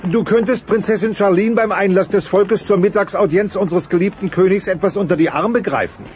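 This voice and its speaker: A man's voice: high-pitched, a little fast, darkish tone, natural voice. An actor playing a pompous, boastful dinosaur father in a theatrical German audio play. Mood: pompous, scornful. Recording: dull cassette sound, faint noise.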